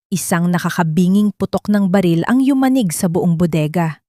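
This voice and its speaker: A woman's voice: fairly low pitch, normal speed, mellow, no echo, clean studio sound. A woman narrator reading a scripted audio drama in a dramatic storytelling style.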